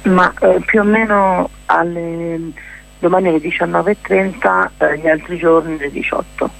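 A woman with a husky, gravelly voice, explaining things in an informal radio interview with hesitant, drawn-out words. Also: fairly low pitch, fairly even intonation, poor audio. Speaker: festival organiser and performer, interview guest.

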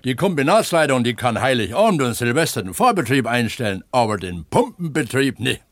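An actor playing an eager, earnest pump attendant at an opencast mine in a naturalistic radio drama, explaining things like a tour guide. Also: male voice, medium pitch, sing-song, brisk, no room sound. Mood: explanatory.

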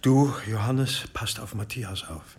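An actor playing a commanding, secretive abbot in a radio drama, with an authoritative, urgent manner. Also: male voice, fairly low pitch, a little slow, creaky, heavy background noise. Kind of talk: German radio drama acting. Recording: studio radio drama with music underneath.

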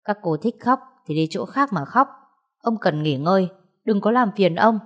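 A woman's voice: mid-range voice, lively intonation, normal speed, close to an everyday voice. An anxious young wife and devoted granddaughter, played by a female narrator in an audio story. She speaks with raw emotion and a fierce protective streak. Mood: angry.

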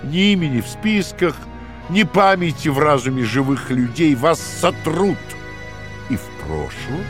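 Slow, menacing interrogator, wildly swinging intonation. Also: male voice, on the high side, very animated, over music. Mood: menacing, lecturing. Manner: theatrical, deliberate, lecturing, swinging from hushed to shouting. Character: coldly patient, menacing male Party interrogator and torturer. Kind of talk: dramatic radio play acting.

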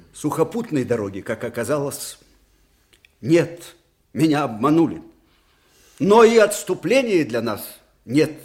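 An actor playing an aging army commander, fiery and anguished, in a theatrical radio drama with declamatory, weighty delivery. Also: male voice, a little high. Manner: declamatory, slow and weighty, swinging from hushed to thundering.

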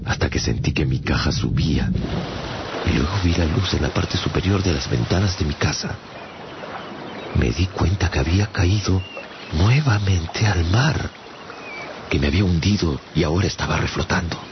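A male actor playing an afflicted castaway traveler who tells his story earnestly and dramatically in a gravelly voice, in a radio drama. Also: low voice, expressive, moderate pace, long pauses, noisy background. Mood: tense, recounting. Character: afflicted English castaway man recounting his strange voyages. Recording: dull sound under prominent music. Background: music.

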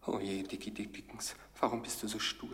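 An actor playing an anxious storyteller in a radio play, breathy and confiding, with mock suspense. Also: male voice, lowish voice, level tone, brisk, fairly bright tone, in a whisper. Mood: pleading. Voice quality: husky, breathy. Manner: dramatic, confiding. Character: anxious storyteller pleading with the hero. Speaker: narrator.

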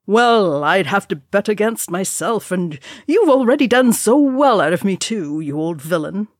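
A hearty young dwarf warrior from a fantasy storybook, acted out by a woman, full of swagger and teasing. The delivery is pushed up in pitch and sounds close and dry. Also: big pitch swings, a little slow, no echo. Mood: rueful, laughing. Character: young, boisterous, boastful male dwarf warrior.

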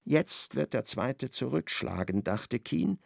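A male narrator tells a radio play in a measured, ironic way. His voice has a gravelly grain and sits mid-range. The studio sound is dry. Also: mid-range voice, very animated, dry recording.

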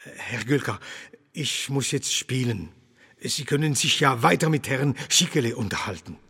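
An actor playing a learned, earnest Alsatian doctor and organist in a German radio play, lecturing emphatically in a husky voice. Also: male voice, medium pitch, animated, normal speed, bright, forceful tone, in a large hall, exaggerated voice.

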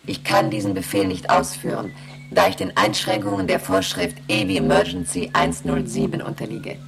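A woman playing an emotionless moon station computer in a radio play, reciting in a formal monotone. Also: extremely low pitch, moderate pace, creaky, a crowd in the background. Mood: impassive. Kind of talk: German radio play acting.

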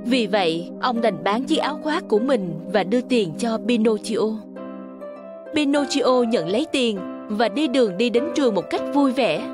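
A woman's voice: a little high, expressive, moderate pace, over music. A woman reading scripted narration for a children's fairy tale.

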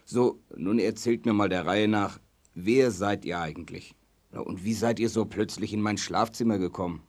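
A man's voice: on the low side, normal speed, darkish tone, natural voice. A man playing a weary, kindly, somewhat bemused king in a children's audio play. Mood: exasperated.

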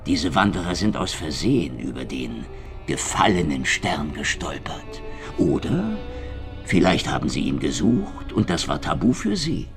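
Gravelly, swaggering hardboiled Soviet investigator. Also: male voice, very deep voice, big pitch swings, unhurried, over music, full character voice. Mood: probing, sardonic. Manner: sardonic, insistent, swaggering. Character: cynical, streetwise hardboiled Soviet secret police investigator. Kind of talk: German radio crime drama acting.

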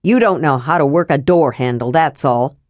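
A woman's voice: deep voice, normal speed, no room sound, studio quality, voice pushed down, natural voice. A woman voicing a grumpy, stubborn old male dwarf who growls curt replies. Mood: scornful.

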